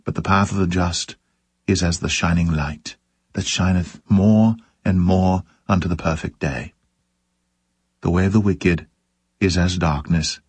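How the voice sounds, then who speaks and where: A man's voice: low voice, steady tone, unhurried, slightly rough, no room sound. A man reciting scripture in a solemn, scripted style. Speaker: scripture reader.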